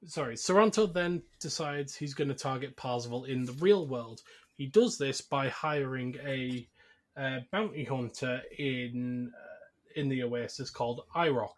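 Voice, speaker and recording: A man hosting a podcast, casually recapping a film in a jokey, conversational way. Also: mid-range voice. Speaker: podcast host.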